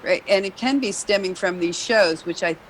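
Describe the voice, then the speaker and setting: A woman's voice: mid-range voice, normal speed, a little hiss or hum, poor audio. A woman, a jazz singer and vocal coach, talks through singing technique in casual chat, explaining at length and leaning on "you know".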